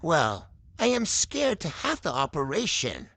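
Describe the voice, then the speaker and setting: Woman voicing a slow, husky little boy turtle. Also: deep voice, wildly swooping pitch, fairly bright tone, voice pushed down, heavily acted. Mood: resigned, brave. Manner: slow, hesitant, wobbly. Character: scared young boy turtle, earnest and sleepy.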